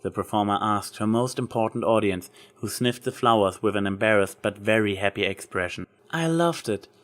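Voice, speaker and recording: A man's voice: on the low side, steady tone, brisk, a bit bright. A man reading a story aloud as a scripted narrator. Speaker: narrator, fan fiction reader.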